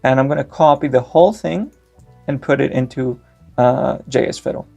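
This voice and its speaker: A man's voice: mid-range voice, normal speed, vocal fry, warm tone, soft background music. A young man whose first language is Greek, giving a step-by-step screen-recorded tech tutorial with frequent filler sounds. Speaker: tutorial host.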